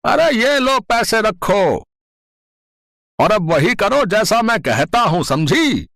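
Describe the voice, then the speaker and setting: A man voicing nosy, cajoling village men in an animated moral story, with a questioning, exclamatory way of talking. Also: high voice, slow, dry recording, clean studio sound, exaggerated voice. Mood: instructing.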